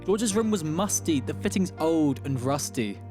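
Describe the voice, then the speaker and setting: A man narrating a rhyming radio story in a theatrical, measured verse delivery. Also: a little high, expressive, music in the background.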